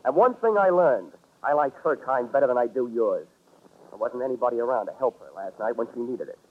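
A man's voice: mid-range voice, lively intonation, fairly quick, close to an everyday voice. An American man playing a tough, sardonic private investigator in a 1940s radio drama, speaking in clipped, wry wisecracks. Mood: angry, accusing. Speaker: lead actor and narrator. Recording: tinny, noisy old radio recording.

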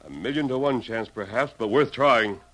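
A man's voice: mid-range voice, moderate pace, natural voice. An actor playing a heroic masked cowboy lawman in 1950s radio western style, speaking with earnest authority and precise diction. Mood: grave, urgent. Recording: hissy old radio broadcast.

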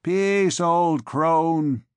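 A man's voice: fairly high pitch, drawn-out words, clean tone, dry recording, studio quality, pitched above the performer's own voice. A man voicing a tall, grave townsman who speaks reprovingly in a storybook reading.

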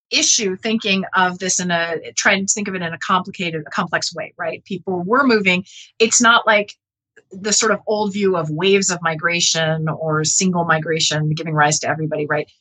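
A woman's voice: on the low side, bright, forceful tone, rough recording. A woman speaking as an expert guest in a conversational academic interview, explaining earnestly and often checking in with "right?". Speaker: interview guest, geneticist and author.

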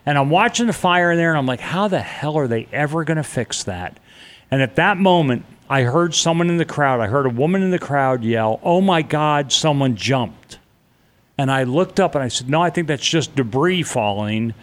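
A man's voice: fairly high pitch, animated, moderate pace. A middle-aged man telling a personal story in an interview, blunt and vivid, with casual swearing. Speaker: interview guest.

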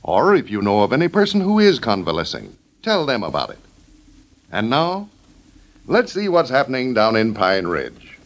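A man announces in classic 1930s American radio style. His pitch is mid-range but swings very widely, and he reads his script formally, with strong emphasis. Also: wildly swooping pitch, normal speed. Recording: 1930s radio broadcast recording.

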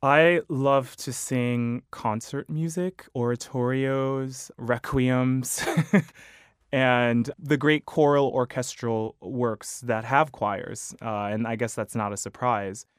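A man with a clear, resonant speaking voice, recorded close and dry with no room sound. He is a choral conductor and baritone singer who answers interview questions in a thoughtful, articulate way. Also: fairly even intonation, dry recording. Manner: thoughtful, measured, articulate with small restarts.

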